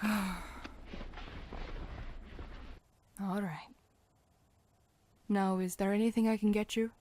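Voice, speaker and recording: A woman playing a reassuring, patient doctor in a fan audio drama, with a gentle professional bedside manner. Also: medium pitch, flat delivery, a little slow, noisy background, lightly acted. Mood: gently cautious.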